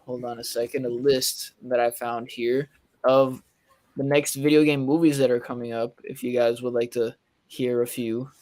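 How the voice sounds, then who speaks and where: Man, average-pitched voice, casual chat, informative, podcast host. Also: little pitch movement, normal speed, a little mellow.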